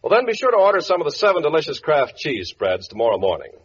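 A man's voice: on the high side, wildly swooping pitch, fast. An American man reading a commercial as a 1940s radio announcer. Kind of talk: 1940s radio advertisement read. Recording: faintly noisy, dull 1940s radio recording.

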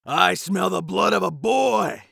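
A man voicing a huge, fearsome, bloodthirsty giant who bellows his threats slowly and drags out his words. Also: sits high, animated, gravelly, fairly bright tone, no room sound, studio quality, voice pushed up, full character voice. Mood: bellowing threat. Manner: bellowing, slow and drawn out.

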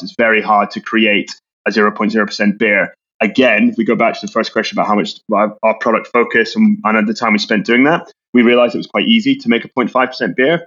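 A Scottish man, a brand co-founder, talking quickly in a casual business interview and often repeating words as he restarts. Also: on the low side, fairly bright tone, dull audio. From Scotland.

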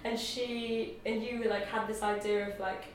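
A woman's voice: mid-range voice, level tone, unhurried, slight background noise. A woman hosting a casual podcast interview, bubbly and chatty, laughing often. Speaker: podcast host. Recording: very echoey room.

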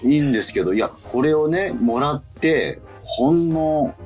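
A man's voice: fairly high pitch, animated, unhurried, a little mellow, soft background music. A man co-hosting a casual radio after-show chat, feeling his way through sentences and often rephrasing. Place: radio studio.

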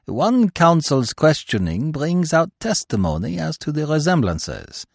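A man's voice: medium pitch, no echo, clean studio sound, full character voice. A man voicing a vain, fussy middle-aged male detective who lectures in a pompous, self-assured way, in an audiobook reading.

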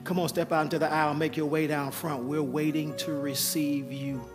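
A man who is a pastor giving an altar call and announcements to his congregation, with a hoarse voice he says he keeps losing. He talks in a coaxing, repetitive preaching manner. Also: on the high side, level tone, normal speed. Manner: urgent repeated coaxing, preacherly. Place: church sanctuary. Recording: live church sound with music and crowd. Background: music and congregation.

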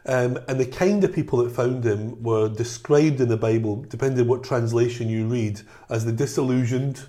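A middle-aged man from Scotland with a softened Scottish accent, co-hosting a church podcast in an earnest, storytelling way. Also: mid-range voice, fairly even intonation, normal speed. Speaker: podcast co-host, church leader. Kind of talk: casual chat.

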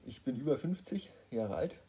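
A man's voice: mid-range voice, big pitch swings, normal speed, warm tone, breathy edge. A man bantering casually and teasingly as he co-hosts a guessing game. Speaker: co-host playing a guessing game.

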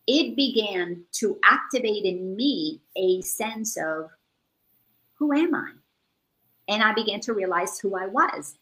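A woman's voice: medium pitch, lively intonation, slow pace, pushed and bright, low-quality recording. A woman who grew up in the Dominican Republic, speaking earnestly over a video call and repeating words as she searches for them. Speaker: guest. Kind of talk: casual spiritual chat.

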